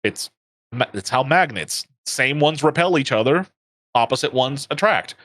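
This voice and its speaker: A man's voice: medium pitch, animated, normal speed, fairly bright tone, no echo. Man with a dry sound. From Puerto Rico. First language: Spanish. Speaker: guest gamer. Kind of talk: casual chat on a stream.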